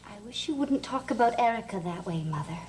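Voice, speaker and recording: An actress playing an eerily composed, detached young daughter in a gothic soap opera, calm and polite. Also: female voice, on the high side, a little fast, lightly acted, poor audio. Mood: calm, distant.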